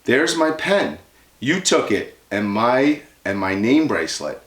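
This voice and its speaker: A blunt, sour young girl from a children's storybook, acted out by a man. The lines jump around in pitch with an accusing edge. The room adds a noticeable echo. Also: sing-song, unhurried, fairly bright tone. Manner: indignant, blunt.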